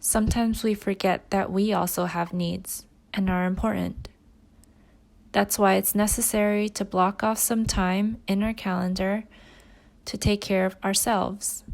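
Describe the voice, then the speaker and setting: Slow-paced woman guiding meditation. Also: medium pitch, creaky, no echo. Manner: unhurried, with pauses between short phrases. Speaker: meditation guide. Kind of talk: guided meditation, gentle spoken instruction.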